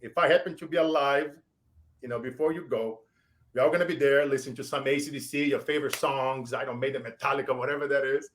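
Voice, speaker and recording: A man in his forties with a Brazilian accent, hosting a show and giving emphatic motivational shout-outs. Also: medium pitch, fairly even intonation, fast talker. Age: 40s. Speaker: show host. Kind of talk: motivational interview wrap-up.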